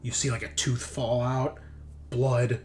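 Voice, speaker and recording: A man's voice: mid-range voice, flat delivery, a little slow, a little mellow, slight background noise. A man running a tabletop roleplaying game, narrating off the cuff in a casual, vividly descriptive way. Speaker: game master.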